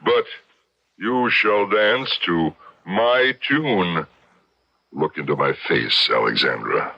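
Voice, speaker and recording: An actor playing a suave, gloating devil with a theatrical, sardonic, commanding manner in an old-time radio drama. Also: male voice, low voice, lively intonation, slow pace, dry recording, exaggerated voice. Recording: dull, lo-fi old radio recording.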